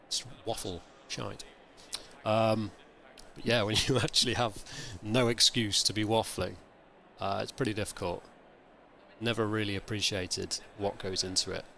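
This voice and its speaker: Casting: male streamer, croaky and hungover, gravelly low voice with a bright edge, rambling as he thinks aloud. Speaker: sim racing streamer. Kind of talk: casual live-stream commentary.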